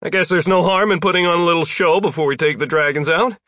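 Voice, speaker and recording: A man voicing an excitable young male wizard in an audiobook, loud and incredulous, with casual slangy talk. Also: on the high side, fast talker, no room sound, pitched above the performer's own voice. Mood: incredulous, agreeable.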